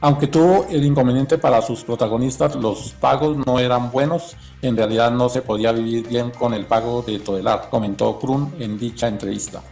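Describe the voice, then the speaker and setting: A man's voice: medium pitch, moderate pace, gravelly, few pauses, darkish tone, faint music behind. A man reading scripted documentary narration.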